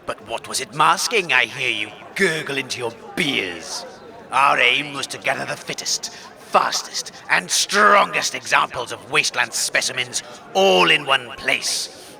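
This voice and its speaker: A man's voice: medium pitch, creaky, sharp tone, exaggerated voice. A man playing a pompous, ranting wasteland cult leader in a comic audio drama, speaking with theatrical, grandiose contempt. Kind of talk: comedic audio drama acting.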